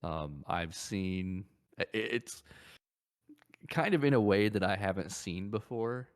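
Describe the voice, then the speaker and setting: A man's voice: lowish voice, lively intonation, takes it slowly. A man co-hosting a casual gaming podcast, thinking aloud hesitantly and often repeating his words. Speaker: podcast co-host.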